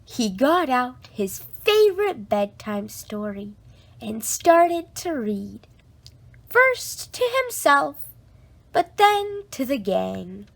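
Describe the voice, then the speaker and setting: A woman reading a children's bedtime story aloud in an expressive, drawn-out way for young listeners. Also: high-pitched, gravelly.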